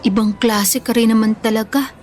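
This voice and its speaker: A woman's voice: fairly high pitch, fairly even intonation, normal speed, darkish tone, faint music behind, lightly acted. A woman with a Filipino accent playing an earnest, plucky bullied teenage schoolgirl in a radio drama. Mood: defiant.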